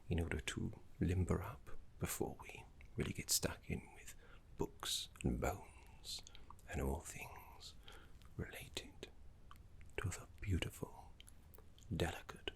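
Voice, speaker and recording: A man playing a genteel, fussy, courteous professor of necromancy in an ASMR roleplay, speaking in a soft, breathy, formal way with dramatic pauses. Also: deep voice, exaggerated voice. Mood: soothing, encouraging.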